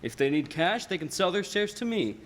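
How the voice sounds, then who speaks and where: A young man acting a smug, scheming banker villain with a high, very animated voice. He is condescending and falsely helpful in radio play style, with the reverb of a large hall around him. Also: brisk. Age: teens or young adult. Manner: smooth, condescending, theatrical.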